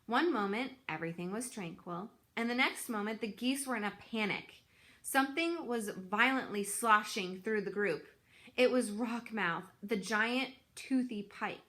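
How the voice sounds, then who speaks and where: Bright-voiced woman reading a storybook. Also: on the high side, unhurried. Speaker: children's book reader. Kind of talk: expressive storybook read-aloud.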